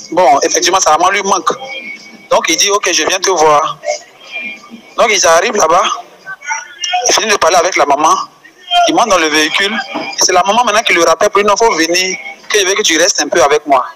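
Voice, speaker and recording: A man's voice: sits high, poor audio. A man with an Ivorian French accent whose first language is Baoulé, leaving a voice message that explains a controversy and firing off indignant rhetorical questions. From Côte d'Ivoire. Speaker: caller.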